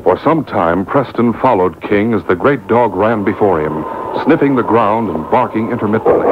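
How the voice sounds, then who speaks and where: A man narrating an old-time radio adventure serial as a dramatic, scripted storyteller. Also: close to an everyday voice. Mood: measured, dramatic. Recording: noisy muffled boombox taping of AM radio.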